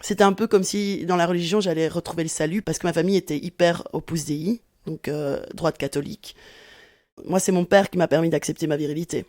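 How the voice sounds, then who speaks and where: A woman's voice: lowish voice, fairly even intonation, fairly quick, a little mellow, no echo, studio quality. A woman in her forties, a sociology professor, giving candid and reflective personal testimony in an interview, sometimes restarting her phrases. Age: 40s.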